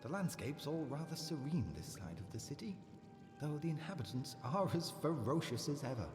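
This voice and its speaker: A man's voice: on the high side, lively intonation, brisk, close to an everyday voice. A man voicing a genteel, mild-mannered bug explorer in a video game, with polite, leisurely, old-fashioned phrasing. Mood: genteel, musing. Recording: echoey reverb with music behind.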